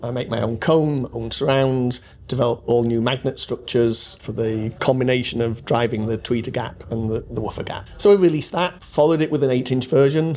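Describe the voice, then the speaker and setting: A man's voice: mid-range voice, darkish tone. A man in his sixties explaining technical details conversationally as an interviewed designer, often posing questions and answering them himself. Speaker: loudspeaker designer being interviewed. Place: hotel demo room at an audio show. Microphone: small handheld mic.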